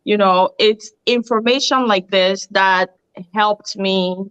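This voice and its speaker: A woman's voice: mid-range voice, fairly even intonation, takes it slowly, fairly bright tone, smooth, no room sound, rough recording. A woman in her thirties from Cameroon, a guest speaking cheerfully in a casual online chat and laughing often. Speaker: guest, digital business coach. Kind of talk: casual live-stream chat.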